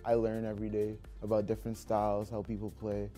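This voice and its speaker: A man's voice: fairly low pitch, monotone, quick pace, warm tone, soft background music. A young man from the Bahamas, a student basketball player, speaking earnestly in an interview. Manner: reflective, earnest.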